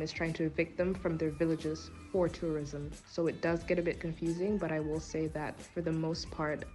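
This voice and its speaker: A woman from Tanzania giving a relaxed, conversational prepared talk as a podcast guest, with occasional ums. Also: fairly low pitch.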